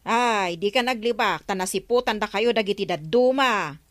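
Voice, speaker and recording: A woman's voice: mid-range voice, normal speed, no room sound, studio quality, natural voice. A woman acting a suspicious, scolding village customer with an Ilocano accent and blunt, nagging delivery in a comedy radio drama.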